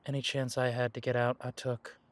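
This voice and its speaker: An easygoing young werewolf man, as a man acts him out talks straight to the listener in an audio roleplay. His voice sits at a middling pitch, and his manner is relaxed and reminiscing, with frequent soft chuckles. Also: a little fast, natural voice. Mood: nostalgic.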